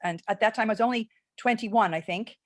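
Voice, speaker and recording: A woman's voice: a little high, fairly quick. A woman around seventy, originally from England and Los Angeles and later Ireland, chatting easily as a guest over a video call, rambling through stories with easy laughs. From born in England, raised in Los Angeles, later Ireland. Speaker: guest, homeopath and former nurse-midwife. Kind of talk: casual interview chat.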